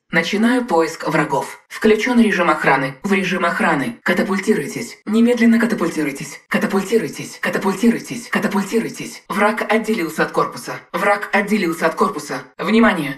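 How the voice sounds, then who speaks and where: An actress voicing a female combat robot AI in a dubbed game, giving even, clipped military reports. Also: lowish voice.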